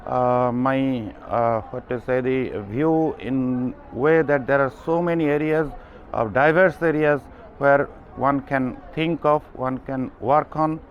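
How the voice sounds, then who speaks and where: A man with a Bangladeshi accent giving halting interview answers, searching for his words. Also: medium pitch. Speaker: internal medicine doctor. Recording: muffled sound with background noise.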